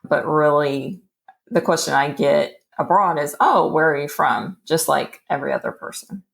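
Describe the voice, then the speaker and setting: A woman in her forties from Texas, a podcast guest telling her life story in an unhurried, thoughtful way with small laughs. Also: low-pitched, creaky. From Texas, USA. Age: 40s. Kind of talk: relaxed storytelling.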